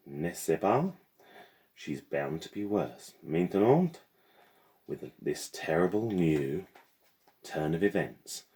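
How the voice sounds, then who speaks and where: A man voicing a haughty foreign woman with a French accent and a measured, sing-song delivery in a storybook. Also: a bass voice, dark tone, exaggerated voice. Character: foreign woman, haughty guardian speaking secretively.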